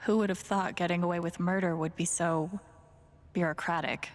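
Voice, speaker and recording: A woman's voice: lowish voice, steady tone, moderate pace, close to an everyday voice. An actress playing a sardonic, indignant young woman sleuth thinking aloud in a video game, wry and muttering. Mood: sarcastic. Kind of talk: naturalistic game inner monologue. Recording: long reverb on voice.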